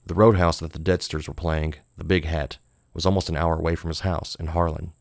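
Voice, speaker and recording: A man's voice: low voice, little pitch movement, fairly quick, creaky, a little mellow, dry recording, clean studio sound. A man narrating a scripted horror short story as an audiobook.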